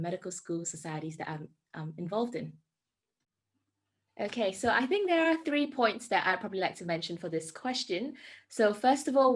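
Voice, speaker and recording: A woman in her twenties with a Singaporean accent, a medical student giving an earnest prepared talk with frequent ums and self-corrections. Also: on the low side.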